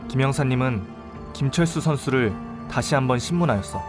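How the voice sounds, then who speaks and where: A man playing a composed, observant young investigator who narrates his case in an audio drama, measured and explanatory. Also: medium pitch, animated, music in the background, close to an everyday voice. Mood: composed, analytical.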